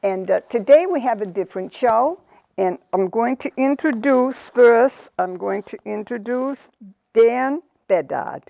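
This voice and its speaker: A woman hosting a small talk show, chatting casually and introducing her guests warmly. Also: a little high, lively intonation, measured pace, creaky. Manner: chatty and encouraging. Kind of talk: casual interview chat.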